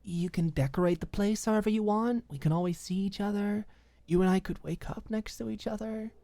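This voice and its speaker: A woman's voice: medium pitch, brisk, mellow, no room sound, voice pushed up, lightly acted. A woman playing a clingy, jealous young girlfriend in an audiobook drama, pushy and insistent. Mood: pleading, coaxing. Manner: coaxing, insistent, scornful when crossed.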